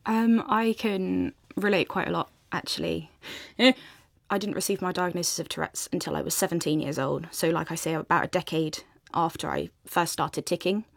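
A woman's voice: on the low side, steady tone, normal speed, vocal fry, no echo, clean studio sound. A woman with a British accent tells her own story conversationally as an interview guest. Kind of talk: conversational personal account.

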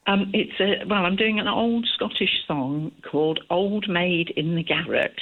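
A woman's voice: on the low side, measured pace. An older woman calling in to a radio show, cheeky and giggly in casual chat. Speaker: caller. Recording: tinny phone line.

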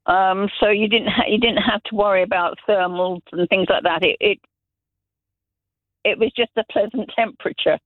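A man's voice: high voice, fairly even intonation, normal speed, no echo. A middle-aged man from Zambia, calling into a radio show and telling long stories with many restarts. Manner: rambling anecdotes, many restarts. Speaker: radio caller. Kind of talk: casual phone-in chat. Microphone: telephone. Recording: tinny dry phone line.

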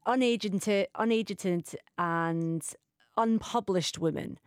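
A woman's voice: mid-range voice, slow, no room sound. Woman interviewer in a dry studio. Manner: polite, probing questions. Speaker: radio interviewer. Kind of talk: scripted intro then conversational interview.

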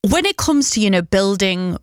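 A woman interviewing guests, asking gentle, probing questions. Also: mid-range voice, big pitch swings, moderate pace, smooth, no echo, studio quality. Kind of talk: podcast interview.

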